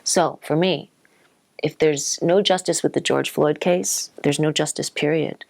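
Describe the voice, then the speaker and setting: A woman with a low voice full of vocal fry. She gives opinionated, pointed commentary as an interviewee. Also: fairly even intonation, a little slow, darkish tone.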